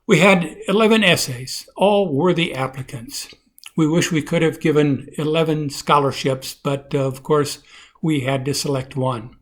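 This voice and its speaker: A man giving a measured, formal prepared announcement of a scholarship to a congregation. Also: fairly high pitch.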